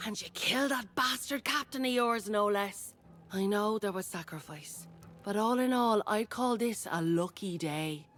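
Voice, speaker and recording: Breezy, self-interested smuggler, female actor: wry game character, medium pitch, background music. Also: unhurried, a bit bright, lightly acted. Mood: wry, pleased. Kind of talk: video game character acting.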